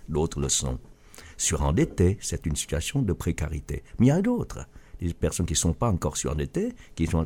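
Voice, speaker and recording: A middle-aged man from Vietnam answers interview questions earnestly, often restarting his sentences. His low, mellow voice moves with lively intonation. A slight huskiness runs through it. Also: slightly rough. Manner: earnest, with frequent restarts and stumbles. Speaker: guest author and business leader.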